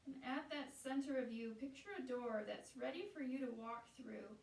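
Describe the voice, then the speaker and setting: A woman guiding a meditation as a teacher, speaking in a soothing, unhurried way with pauses between phrases. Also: sits high, creaky. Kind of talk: guided meditation. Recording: roomy echo, distant from the mic.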